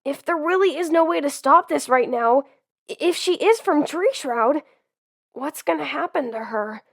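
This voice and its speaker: Woman voicing an eager, high young cub. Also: normal speed, vocal fry, heavily acted. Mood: pleading. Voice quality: earnest and excitable. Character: eager young electric cub Pokémon, earnest and impulsive. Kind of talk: fan comic dub acting.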